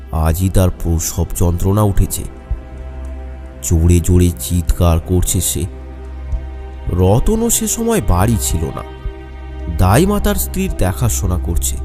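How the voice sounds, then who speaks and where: A man's voice: sits low, expressive, slow, long pauses, dark tone. A man narrating a horror story in a dramatic, scripted storytelling style. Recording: music behind the voice.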